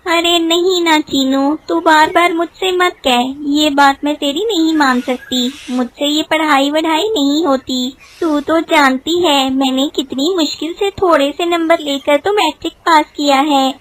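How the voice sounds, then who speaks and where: A woman's voice: sits high, steady tone, measured pace, hardly any pauses. A woman voicing a stubborn teenage girl who complains insistently in a cartoon story. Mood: stubborn refusal.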